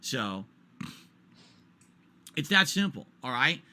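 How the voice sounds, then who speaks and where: A man's voice: mid-range voice, sing-song, takes it slowly, sharp tone. A man in his forties ranting during a stream, exasperated and repetitive, hammering his points home. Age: 40s.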